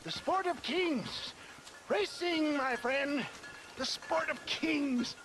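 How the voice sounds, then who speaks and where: A flamboyant old Western huckster in a video game, high-voiced and unhurried. He rolls out pompous, genial phrases full of schemes, with clear noise behind him. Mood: grandly scheming. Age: older. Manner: grandiloquent, drawn-out phrasing with throat-clearing and chuckles. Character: flamboyant old snake-oil salesman and swindler, genially scheming. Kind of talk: theatrical video game acting.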